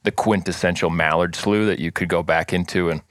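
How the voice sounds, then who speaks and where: A man from South Dakota, a podcast guest, talking casually with many pauses and restarts. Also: low-pitched, fairly even intonation, a bit bright, no echo, studio quality. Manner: rambling, many fillers and restarts. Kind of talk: casual interview chat.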